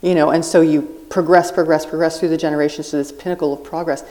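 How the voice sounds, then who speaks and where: A woman's voice: low-pitched, fairly even intonation, quick pace, mellow. A woman guest writer earnestly explaining an idea through an extended metaphor in a live podcast conversation on a festival stage.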